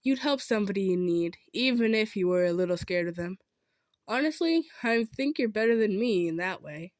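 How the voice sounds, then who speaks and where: A kind centaur traveler played by a woman in a roleplay audio drama. She speaks at a middle pitch, earnest and gentle, stammering when modest. The sound is dry, with no room to it. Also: animated, normal speed, no room sound, close to an everyday voice. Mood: touched, sincere. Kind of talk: improvised roleplay audio drama, addressed to listener.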